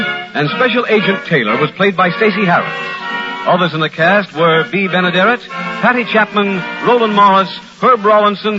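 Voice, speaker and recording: A male radio announcer reads in a polished, formal vintage style, his voice pitched high. The broadcast sounds muffled, with music clearly audible. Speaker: radio announcer and sponsor spokesman. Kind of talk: scripted 1940s-50s radio announcing.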